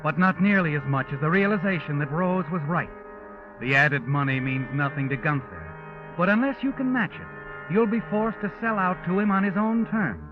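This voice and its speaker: An ominous American radio mystery narrator speaks in a fairly high man's voice, insinuating and knowing. He addresses the listener like an accomplice in an old 1940s drama. The sound is dull vintage radio with clear music underneath. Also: lively intonation, normal speed.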